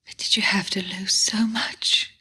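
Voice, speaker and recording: An actress playing a gentle, reproachful woman in a TV science fiction drama, husky and breathy. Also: mid-range voice, steady tone, a little slow, in a whisper, heavily acted. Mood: plaintive. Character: gentle, reproachful mother in a surreal sci-fi drama.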